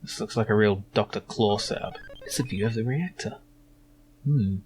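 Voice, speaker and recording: A man's voice: on the low side, moderate pace, a little mellow. A man giving casual commentary on a game he is playing, musing and a little hesitant as he goes. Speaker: gaming video host.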